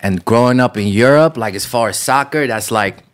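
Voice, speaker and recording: A man's voice: medium pitch, moderate pace. A man who grew up in the Netherlands, a podcast guest chatting casually with lots of fillers. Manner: relaxed, loose, frequent fillers. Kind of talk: casual interview chat.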